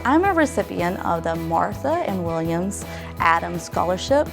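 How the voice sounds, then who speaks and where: A woman's voice: fairly low pitch, sing-song, moderate pace, gravelly. Friendly woman introducing herself over music. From Virginia. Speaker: scholarship recipient. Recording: music playing underneath.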